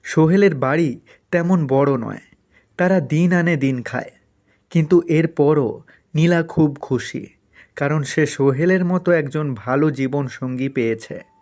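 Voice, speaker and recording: Fairly high-voiced male storyteller. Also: unhurried, darkish tone. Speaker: narrator. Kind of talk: scripted cartoon story narration.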